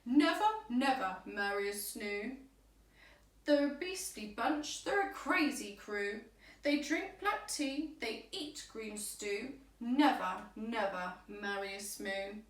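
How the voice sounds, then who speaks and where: Woman playing a loud, cross alien grandfather. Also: on the high side, lively intonation, slow pace. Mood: disgusted. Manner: emphatic, scolding in rhyme. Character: angry old alien grandfather, cross and forbidding. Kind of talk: children's storybook character voice. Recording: roomy echo, distant microphone.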